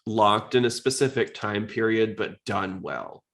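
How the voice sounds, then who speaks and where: A man with a fairly low-pitched voice hosts a casual podcast. He talks in an informal, conversational style, as in a relaxed discussion between fans. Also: measured pace. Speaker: podcast host. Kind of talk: casual podcast chat about anime.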